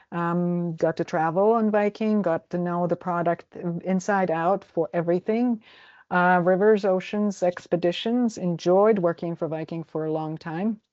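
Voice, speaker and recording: Croatian-accented woman, dull low voice. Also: warm tone, dry recording. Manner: halting, many fillers and restarts. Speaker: travel agent. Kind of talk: casual interview.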